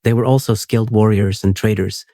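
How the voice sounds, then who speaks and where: A man hosting a sleep podcast, reading a script in a steady, unhurried, soothing way. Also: on the low side, no echo, studio quality.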